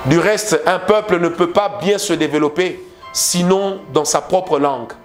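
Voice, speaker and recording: A man's voice: sits high, measured pace, music in the background. A man with a Congolese French accent preaching a sermon, stressing his points with repeated phrases and rhetorical questions.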